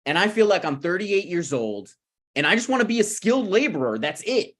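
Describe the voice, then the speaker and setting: A man's voice: sits high, very animated, a little fast, fairly bright tone, exaggerated voice. A man in his thirties at a script table read, playing a needy, prickly struggling screenwriter who talks in a pressing, conversational way. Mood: aggrieved. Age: 30s.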